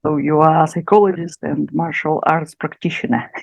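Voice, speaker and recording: Low, gravelly Russian-accented woman lecturer. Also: normal speed, darkish tone, no echo, highs cut off. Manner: hesitant, many fillers, laughs often. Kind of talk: informal lecture in English.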